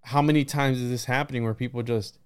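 A man with a clear, smooth voice talks in a loose, conversational podcast style. His delivery is rambling and reflective, with frequent little check-ins as he works through his thoughts. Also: medium pitch, fairly even intonation, fast talker. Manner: rambling, musing, peppered with right and you know. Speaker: podcast host. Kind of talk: casual podcast conversation on esoteric ideas.

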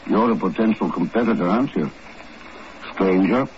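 Man playing a low-voiced, sardonic secret agent. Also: moderate pace, darkish tone, natural voice. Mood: brisk, probing. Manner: dry, commanding, probing. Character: shrewd, self-assured male secret agent, dryly sardonic. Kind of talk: 1950s radio drama acting. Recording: dull old radio recording, faint noise.

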